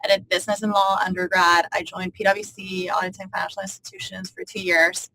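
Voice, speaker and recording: A woman from Spain telling her career story on a student panel with a steady, even delivery. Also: mid-range voice, brisk, gravelly, slight background noise, low-quality recording. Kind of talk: fluent self-introduction.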